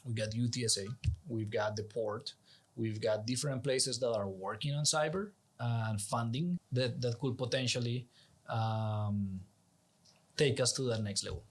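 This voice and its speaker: A man's voice: medium pitch, unhurried. A man from Colombia, a native Spanish speaker with a Colombian accent, talking as an interview guest in an earnest, persuasive, conversational way. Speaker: interview guest, executive director. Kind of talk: conversational explanation.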